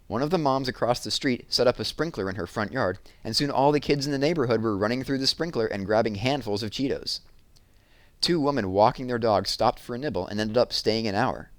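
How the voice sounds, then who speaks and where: A man narrating a children's novel aloud in an expressive storytelling style. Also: lowish voice, dry recording.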